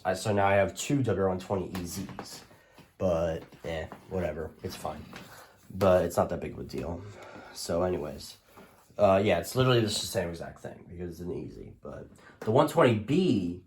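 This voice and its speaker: A man's voice: low-pitched, darkish tone. A man giving a casual, rambling showcase of his collection, talking informally and hesitantly as he presents each item. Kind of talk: casual product showcase narration.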